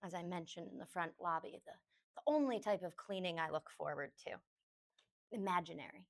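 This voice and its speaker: A woman yoga teacher leading a guided meditation, giving gentle, unhurried instructions in short phrases with pauses between them. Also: on the low side.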